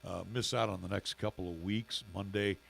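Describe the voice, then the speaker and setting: A middle-aged man co-hosting a local radio show in casual chat, with a raspy, gravelly voice and halting speech full of fillers. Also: deep voice, steady tone, fairly bright tone, a little hiss or hum. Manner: halting, many fillers and restarts. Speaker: radio station owner and co-host.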